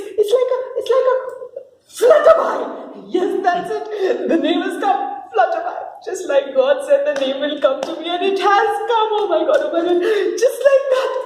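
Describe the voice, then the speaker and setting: A wide-eyed, childlike man for the stage acted by a woman, high and lilting. He repeats himself in excitable delight and exclaims with innocence. The voice rings in a roomy space with some noise. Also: far from the mic. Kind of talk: dramatic reading of a stage play. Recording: roomy echo with clear background noise.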